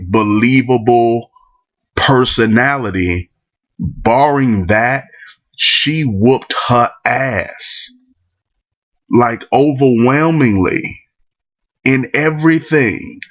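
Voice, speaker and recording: A man's voice: mid-range voice, highs cut off. A man with a cold, rambling bluntly through his opinions in a casual monologue. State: catching a cold. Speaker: vlogger giving battle rap opinions.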